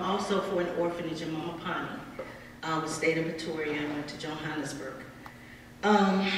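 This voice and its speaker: A woman's voice: low voice, fairly bright tone. A woman giving a personal testimony as a story to an audience in a large hall. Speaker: singer and speaker at an event. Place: large indoor event hall. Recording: very echoey hall, distant mic, faint noise.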